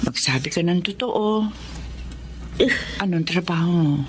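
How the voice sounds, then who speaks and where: A woman's voice: lowish voice, measured pace, a little hiss or hum, close to an everyday voice. A woman playing a loving, anxious, ailing mother in a Filipino radio drama, emotional and pleading. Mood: stern, probing.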